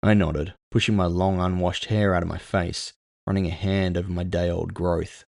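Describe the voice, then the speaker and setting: A man narrating scripted first-person fiction in a measured, brooding storytelling manner. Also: deep voice, steady tone, vocal fry, a little mellow, dry recording, studio quality.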